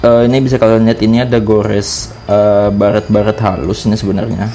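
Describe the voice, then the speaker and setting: A man's voice: on the low side, level tone, brisk, warm tone, soft background music. A man with a Jakarta Indonesian accent giving a casual product review, explaining things informally step by step as a vlogger.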